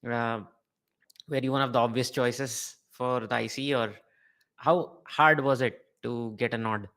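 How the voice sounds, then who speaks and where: A man's voice: mid-range voice, steady tone, a little slow, a bit bright. A man hosting a podcast interview, asking questions and giving brief attentive backchannels. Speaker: podcast host.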